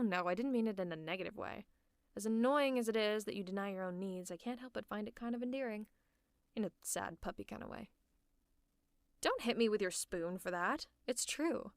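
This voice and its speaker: A woman playing a sardonic female supervillain in an ASMR roleplay, teasing and scolding the listener in a gruffly caring way. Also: medium pitch, dry recording, clean studio sound, lightly acted. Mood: teasing fondness. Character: sardonic female supervillain, gruffly fussing over a sick hero. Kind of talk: ASMR roleplay, one-sided dialogue to the listener. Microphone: close mic, dry.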